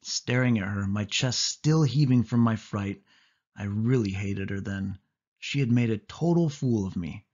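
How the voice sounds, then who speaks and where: A man narrating a suspense story in the first person, with expressive, dramatic delivery. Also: fairly low pitch, unhurried, muffled recording. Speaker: audiobook narrator.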